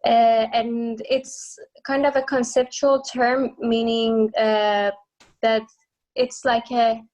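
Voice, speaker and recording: A woman's voice: fairly high pitch, level tone, slow, a little mellow, rough recording. A woman from Iran with an Iranian accent, explaining her work as an interview guest in hesitant, thoughtful phrasing.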